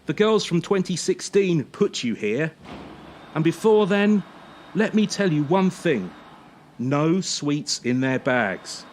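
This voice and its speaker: A harsh, sneering ex-champion coach in a radio drama. The delivery is slow and deliberate, with big swings in pitch and sarcastic scolding. Ambient noise sits behind the voice. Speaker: actor in a radio play.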